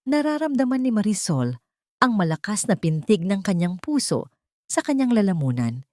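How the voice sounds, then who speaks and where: A woman's voice: fairly low pitch, warm tone, no room sound, studio quality. A woman narrator reading a scripted story in a dramatic style.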